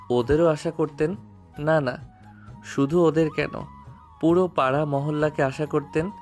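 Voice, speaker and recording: An actor playing a cocky, jealous young man in an audio drama, mocking and exaggerating sarcastically. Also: fairly high pitch. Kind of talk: dramatized audio story acting.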